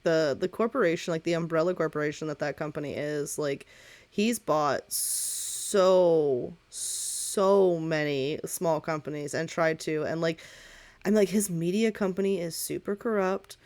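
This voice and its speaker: A woman playing an excitable, teasing young female friend in a tabletop game, rambling in dramatic slang. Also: deep voice, measured pace. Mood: excited rambling.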